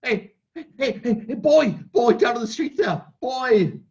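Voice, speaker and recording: A high, swooping old miser's voice, cackling and breathless, bursting with joy, as a man acts him out in a radio-style reading. His pitch leaps all over the place. The dull, lo-fi sound strips away the crispness. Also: big pitch swings, normal speed. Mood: ecstatic. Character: elderly male miser, giddy with joy. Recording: dull lo-fi recording.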